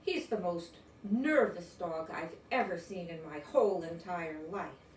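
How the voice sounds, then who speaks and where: A woman's voice: on the low side, lively intonation, measured pace. A weary, dismissive old man from a storybook, acted out by a woman. He grumbles emphatically in a folksy manner. The sound is roomy and a little distant, with faint noise behind. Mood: grumbling complaint.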